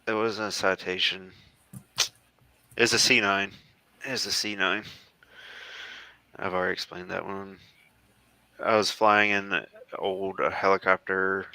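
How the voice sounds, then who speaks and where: Low, gravelly-voiced American man, halting. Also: level tone, bright, forceful tone, no echo. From southeastern United States. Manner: halting, rambling, trailing off. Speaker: guest, former Marine air traffic controller. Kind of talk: casual chat, talking through old photos.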